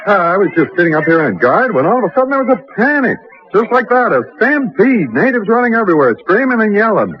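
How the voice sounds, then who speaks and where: An actor playing an uneasy, watchful camp guard in an old radio horror drama. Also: male voice, high voice, very animated, fast talker. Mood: panicky. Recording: dull, faintly noisy old radio recording.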